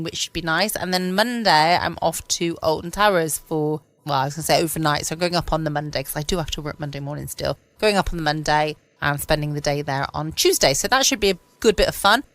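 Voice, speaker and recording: A woman hosts a late-night podcast in a casual, rambling way. Her voice is on the low side, recorded dry and close in a quiet room at home. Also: dry recording. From United Kingdom. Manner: rambling, musing to herself. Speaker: podcast host. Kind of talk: casual bedtime chat.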